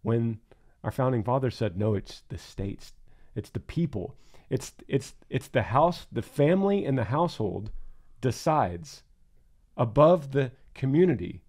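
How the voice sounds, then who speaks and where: Man, podcast co-host, mellow voice, mid pitch, earnest, reflective. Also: big pitch swings, unhurried. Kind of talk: casual podcast talk.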